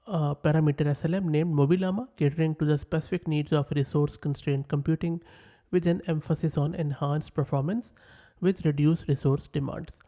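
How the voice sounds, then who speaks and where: A man who is a native Urdu speaker, presenting a software tutorial in a methodical way and narrating each step as he types. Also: on the high side. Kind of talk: screen-share software tutorial.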